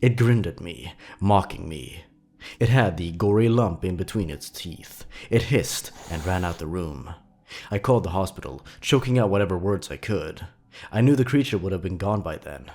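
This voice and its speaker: A man's voice: low-pitched, lively intonation, moderate pace, darkish tone. A man narrating scripted horror stories with a dramatic, suspenseful delivery. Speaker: narrator, host of a horror story countdown.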